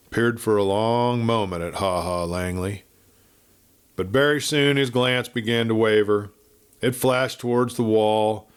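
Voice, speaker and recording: A man narrating a Western audiobook as a dramatic storyteller, with measured, theatrical phrasing. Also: mid-range voice.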